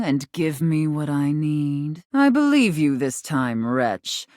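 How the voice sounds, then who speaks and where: A commanding dominatrix from a video game, speaks in a low register with highly animated swings of pitch. She is imperious and teasing, choosing formal, condescending phrases and placing them deliberately. The sound is dry, with no room around it. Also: no echo. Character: imperious, teasing dominatrix, a living safe given human form. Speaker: voice actor. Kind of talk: scripted video game character acting.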